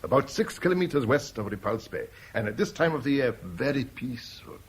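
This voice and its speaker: An actor playing a suave, calculating rival in a 1970s radio drama, urbane and faintly mocking. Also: male voice, mid-range voice, big pitch swings, fairly quick, slightly rough. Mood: brisk, mocking. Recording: noisy old radio broadcast recording.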